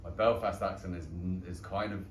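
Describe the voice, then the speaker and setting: Chatty London Irish man, deep voice. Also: brisk. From London and Dublin. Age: young adult. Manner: chatty, self-deprecating, lively storyteller. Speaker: stage actor. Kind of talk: casual post-show talk. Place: small pub theatre, indoors. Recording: echoey room, distant mic, background noise.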